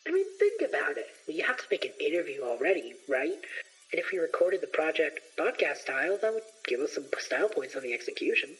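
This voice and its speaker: A woman playing an excitable young male student adventurer, breezy and showy, in an audio drama. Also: deep voice, fast talker, slightly rough, exaggerated voice, low-quality recording. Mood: eager, persuasive.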